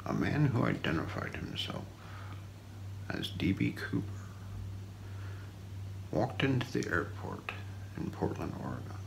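A middle-aged man narrates true crime for ASMR in a very low voice full of heavy creak. He speaks slowly and deliberately, hushed and often close to a whisper, recorded close up in a small room. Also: wildly swooping pitch, low-quality recording. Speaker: ASMR role-play narrator. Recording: faint background noise.

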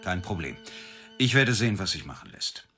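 A prison warden in a radio crime drama, polite and procedural. His pitch moves a lot, and music plays clearly underneath. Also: very animated, normal speed, a bit bright, full character voice. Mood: matter-of-fact. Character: businesslike, courteous male prison warden. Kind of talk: German radio play acting.

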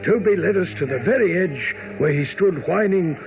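A loyal, earnest gentleman narrator in a radio mystery. His voice is high and expressive, over clearly audible music, with a thin broadcast sound. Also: normal speed, narrow, tinny audio. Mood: measured, storytelling. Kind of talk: radio drama narration and acting.

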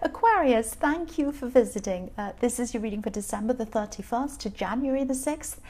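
A woman with a slightly croaky voice giving a chatty, rambling tarot reading full of fillers. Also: fairly high pitch, lively intonation, a little fast, darkish tone. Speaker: tarot reader. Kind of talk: casual spoken tarot reading.

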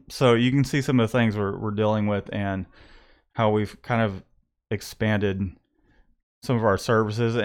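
A man in his thirties, a print shop owner, talking casually about his business into a close studio mic, rambling and restarting his thoughts. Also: on the low side. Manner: rambling, restarts often, thinks aloud. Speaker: screen printing shop owner and podcast host. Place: small print shop room. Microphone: studio condenser mic close up, headphones.

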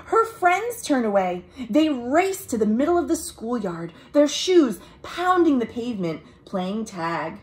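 A young American woman reads a picture book aloud to children, expressive and deliberate, with friendly asides like a storytime librarian. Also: on the high side. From United States. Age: young adult. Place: small room at home.

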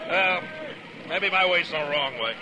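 An actor playing a stern, weary frontier marshal in a 1950s radio western, terse and commanding. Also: male voice, fairly high pitch, lively intonation, measured pace, crowd noise. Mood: grim, weary. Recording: noisy old 1950s radio recording.

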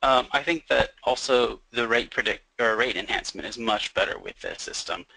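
A young man who works as a software developer, explaining a product casually on a webinar with frequent "um" and "like". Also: mid-range voice, moderate pace, vocal fry, dry recording, low-quality recording. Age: young adult. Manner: fluent, uses fillers like "um" and "like".

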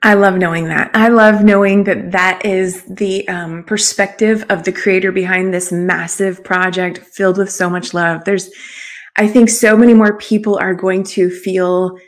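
Woman host in her 40s, average pitch, chatting on a video interview, really encouraging and affirming. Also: fairly even intonation, unhurried. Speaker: show host, confidence coach. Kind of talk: conversational interview hosting. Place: small room at home, on a video call.

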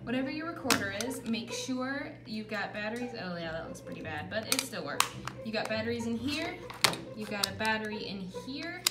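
A woman's voice: a little high, lively intonation, a little fast, heavy background noise. A young adult woman giving a casual how-to tutorial in a chatty, informal manner. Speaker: tutorial presenter. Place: small indoor room with bare block walls. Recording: echoey room with music behind. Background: music.

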